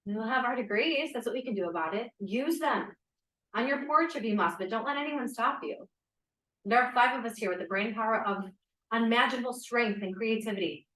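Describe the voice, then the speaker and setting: A woman's voice: a little high, fairly quick, fairly bright tone, close to an everyday voice. A woman acting as a level-headed, encouraging 1940s college student in a script read-through. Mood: rousing. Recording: echoey room.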